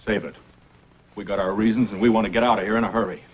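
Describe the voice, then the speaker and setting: A man's voice: lowish voice, fairly even intonation, fast, breathy edge. An actor playing an impatient, jumpy outlaw henchman in an old-time radio adventure, speaking curtly and edgily. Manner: curt, edgy. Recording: muffled vintage radio recording.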